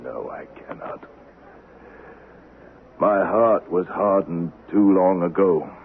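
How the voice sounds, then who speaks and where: An American actor playing an embittered, dying man of the Old West in a radio drama, grave, deliberate and formal. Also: sits low, animated, full character voice. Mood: weary, resigned. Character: embittered, dying old western man, hard-hearted avenger. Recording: tinny vintage radio recording, faint hiss.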